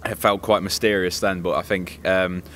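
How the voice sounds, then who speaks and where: A man giving a casual spoken review in a measured, hesitant, diplomatic way as a co-presenter. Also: low-pitched, fairly even intonation, fairly bright tone. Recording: faint background ambience noise.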